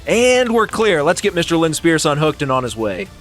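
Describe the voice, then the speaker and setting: A man's voice: on the high side, big pitch swings, normal speed, a bit bright, faint music behind. Man doing a gushing female TV interviewer. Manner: sugary, gushing. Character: chirpy female TV news interviewer. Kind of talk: comic dramatic script reading.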